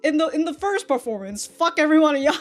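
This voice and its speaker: A woman's voice: high-pitched, expressive, fast, faint music behind. A woman giving a casual episode review as a reaction host, in slangy, sweary talk with mock indignation and frequent laughter.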